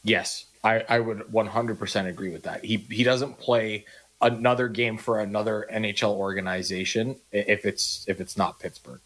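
A man's voice: lowish voice, fairly even intonation, moderate pace, a bit bright, low-quality recording. A man co-hosting a sports radio show, chatting casually and reading out listener texts on a studio mic. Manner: conversational, reads listener texts.

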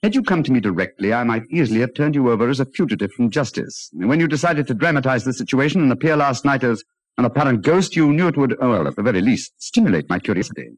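An actor playing a clever, self-assured British detective in a 1940s radio drama, urbane and theatrical, with a hesitating drawl. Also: male voice, mid-range voice, big pitch swings, fast, dry recording, poor audio. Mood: explaining, assured.

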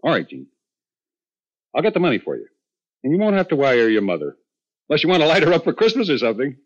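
An actor playing a feckless, good-hearted husband who jokes and rambles haltingly in a 1950s radio drama. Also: male voice, a little high, huge pitch swings. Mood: stung, insistent. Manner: halting, rambling, self-deprecating. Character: feckless, joking husband, proud but ashamed, good-hearted. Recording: dull 1950s radio recording.

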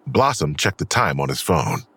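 A man with a raspy voice delivering scripted dramatic narration for an audio drama. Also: extremely low pitch, sing-song, normal speed, a bit bright, dry recording, full character voice.

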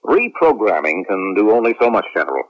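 A man's voice: fairly low pitch, sing-song, a little fast. A man acting a cautious, worried colonel in a 1970s radio drama, respectful, earnest and wary. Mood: cautious, concerned. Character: cautious, worried male colonel heading clone program. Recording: muffled 1970s radio recording.

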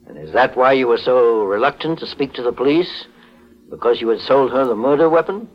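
An actor playing a calm, probing, authoritative private investigator in a 1940s radio drama, measured, formal and stern. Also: male voice, mid-range voice, natural voice. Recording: dull old 1940s radio broadcast.

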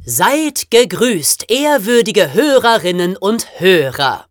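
A man's voice: sits high, big pitch swings, takes it slowly, no echo, studio quality. A young man co-hosting a podcast, telling scripted stories dramatically for young listeners. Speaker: podcast co-host. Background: music.

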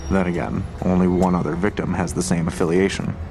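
An actor playing a weary, curt police detective with a clipped, sardonic manner in an audio drama. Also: male voice, low-pitched, fairly even intonation, brisk, over music. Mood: pondering.

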